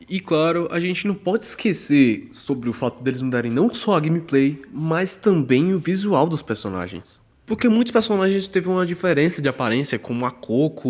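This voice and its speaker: A man's voice: mid-range voice, a little mellow. Slangy young Brazilian man reviewing games. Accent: Brazilian Portuguese. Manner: casual and slangy, jokey asides. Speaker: video game YouTuber. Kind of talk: scripted voice-over game review.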